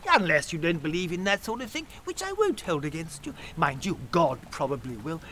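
An actor playing a rambling, apologetic village vicar in an audio sitcom, hesitant and stammering. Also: male voice, a little high, sing-song, a little fast, bright, forceful tone, a little hiss or hum. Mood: flustered, dithering.

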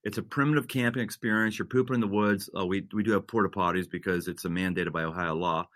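A man's voice: low-pitched, fairly even intonation, fast talker, fairly bright tone, dry recording, studio quality. A man, a senior pastor, talking in an interview in an earnest way, building into an emphatic preaching rhythm with repeated phrases. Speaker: senior pastor, guest author.

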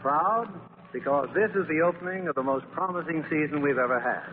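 Formal 1940s radio host, tinny sound. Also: male voice, on the high side, brisk, noisy background. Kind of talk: formal scripted 1940s radio hosting and narration. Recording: thin 1940s radio, music behind.

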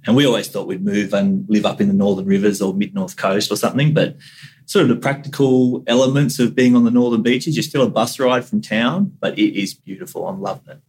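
A low, husky-voiced Australian man talking as a podcast guest. He speaks in an easygoing, unhurried way and drops in casual slang. He sounds relaxed and open. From New South Wales, Australia. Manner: laid-back, easygoing, uses casual slang. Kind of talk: casual interview chat.

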